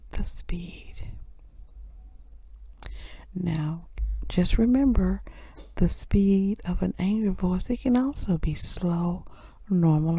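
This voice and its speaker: A woman who runs a soft-spoken ASMR channel, teaching in a gentle whisper and repeating key phrases slowly. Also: fairly low pitch, husky, dry recording.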